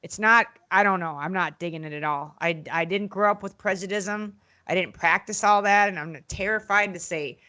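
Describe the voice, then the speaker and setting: A woman with a bright, sharp voice talks on a podcast as a guest. She tells stories bluntly and animatedly, breaking into voices when she quotes people. Her talk runs on with fillers and restarts. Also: medium pitch, moderate pace, few pauses. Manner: animated storytelling, acts out quotes in other voices. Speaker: podcast guest, former wrestling interviewer and manager. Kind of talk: casual podcast interview.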